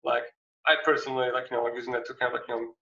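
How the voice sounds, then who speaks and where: A man in his thirties with a Turkish accent, giving a casual artist talk over a video call, halting and full of "like, you know", heard through a dull, distant laptop mic in a small room. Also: mid-range voice, flat delivery, gravelly, pushed and bright, echoey room, a little hiss or hum. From Turkey. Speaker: visiting artist lecturer. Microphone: laptop webcam mic on video call.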